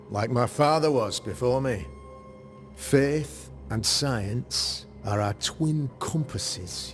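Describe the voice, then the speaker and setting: A man playing a pious, grave colonial demonologist in a video game, speaking in a formal, measured, rhetorical way. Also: medium pitch, close to an everyday voice. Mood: earnest, pompous. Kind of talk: dramatic video game cutscene acting.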